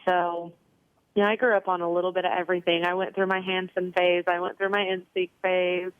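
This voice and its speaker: A woman's voice: on the low side, flat delivery, brisk, no room sound, phone-quality sound. A young woman singer answering interview questions in a chatty way, with lots of ums and you knows. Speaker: country singer, interview guest.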